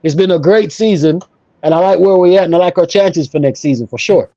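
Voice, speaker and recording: A man's voice: high voice, fairly quick, mellow, dry recording, highs cut off. A man talking casually and emphatically as an opinionated football fan on a panel show, repeating himself for emphasis. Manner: emphatic, repetitive, conversational.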